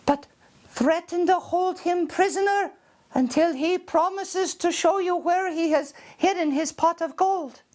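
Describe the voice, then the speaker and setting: Woman putting on a high, airy mother. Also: measured pace, darkish tone. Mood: instructive. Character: widowed Irish mother, dreamy storyteller.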